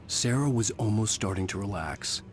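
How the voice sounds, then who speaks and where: An actor playing a haunted male novelist, narrating his story in a low, measured, inward way. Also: animated, slight background noise, close to an everyday voice. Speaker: novelist protagonist. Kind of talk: brooding noir game narration.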